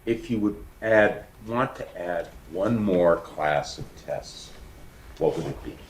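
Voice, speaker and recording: A man's voice: low-pitched, expressive, slow pace. A man in a seminar room audience asking a spontaneous question after a talk. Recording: echoey seminar room with background noise.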